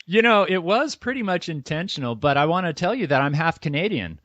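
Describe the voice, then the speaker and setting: Friendly host, dull dry recording. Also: male voice, a little high, very animated, a little fast, a bit bright, no room sound. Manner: friendly, rambling, asks questions with restarts. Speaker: podcast host. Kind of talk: casual interview conversation.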